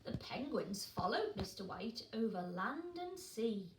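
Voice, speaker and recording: A woman teacher reading a picture book aloud to children, gently asking them questions as she goes. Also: on the high side, sing-song, a little slow, recorded from a distance, heavy background noise. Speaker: primary school teacher. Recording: roomy sound from off the mic.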